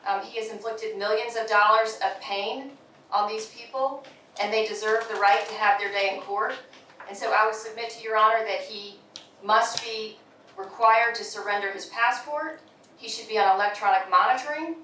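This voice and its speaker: A woman's voice: mid-range voice, steady tone, moderate pace, sharp tone, a little hiss or hum. A woman arguing as prosecutor in court, insistent and stumbling over fillers. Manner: insistent, with many fillers and restarts. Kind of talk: courtroom argument. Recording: echoey courtroom audio from a distant mic.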